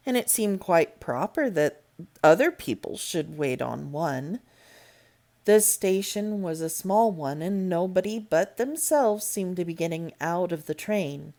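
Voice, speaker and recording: A woman reading a classic novel aloud as storybook narration, in a deliberate, measured manner. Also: lowish voice, darkish tone. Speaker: storybook reader. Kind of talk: read-aloud audiobook narration.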